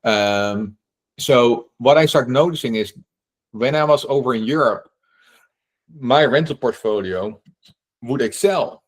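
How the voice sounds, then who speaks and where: A middle-aged man from the Netherlands with a Dutch accent, answering questions at a real estate meetup in an animated way, acting out quotes and often asking "right?". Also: medium pitch, unhurried, pauses often. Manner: animated, acts out quotes, frequent restarts and "right?". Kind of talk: casual Q&A talk.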